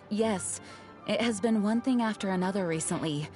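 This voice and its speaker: An actress playing a calm, dutiful knight leader in a game, speaking in a formal, measured way. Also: female voice, medium pitch, faint music behind, natural voice. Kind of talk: game character dialogue.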